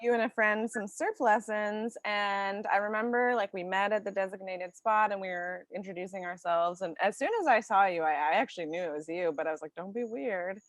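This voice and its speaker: A woman, telling personal stories casually as an interview guest, chatty and quick to giggle. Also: mid-range voice, no room sound. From British Columbia, Canada. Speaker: interview guest, surf camp co-founder. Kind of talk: casual chat, personal storytelling.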